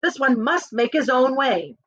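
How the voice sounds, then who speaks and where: A woman's voice: high voice, expressive, a little slow, a bit bright, breathy edge, dry recording, highs cut off, voice pushed up, exaggerated voice. A woman reading the part of a sullen medieval manservant reporting back, in a storybook. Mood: resigned.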